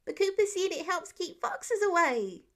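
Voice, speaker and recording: Excitable young boy for story audio, played by a woman: high, chirpy, childlike, eager. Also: moderate pace, heavily acted. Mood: earnest. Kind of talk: storybook-style character reading.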